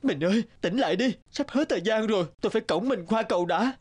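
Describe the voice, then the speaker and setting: Young village husband for cartoon folk tale: high, very lively pitch, earnest, pleading, music underneath. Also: male voice, quick pace. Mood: desperate. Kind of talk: cartoon fairy-tale acting.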